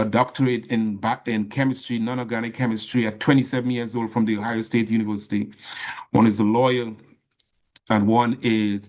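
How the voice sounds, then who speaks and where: A middle-aged man from Trinidad with a Trinidadian accent, giving an earnest personal talk as a guest speaker, stressing phrases slowly and restarting often. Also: mid-range voice.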